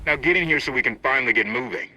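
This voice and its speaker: An actor playing a brisk, commanding crewmate in a video game battle, giving terse, urgent orders. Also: male voice, mid-range voice, expressive, pushed and bright, poor audio. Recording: game audio over battle and engine noise.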